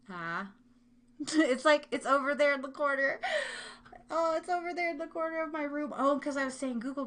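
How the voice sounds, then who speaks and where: A woman's voice: high voice, a bit bright. An American woman from Kansas hosting a live stream from her room, chatting to listeners in an informal, rambling way with playful slang. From Kansas, United States. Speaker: livestream and podcast host. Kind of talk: casual live chat with listeners.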